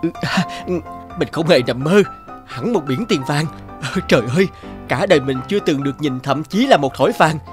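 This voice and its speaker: A man's voice: a little high, lively intonation, normal speed, soft background music, lightly acted. A man voicing a simple, greedy, easily amazed young peasant with an exclamatory manner in a children's cartoon fairy tale. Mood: astonished. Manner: exclamatory, muttering, wondering.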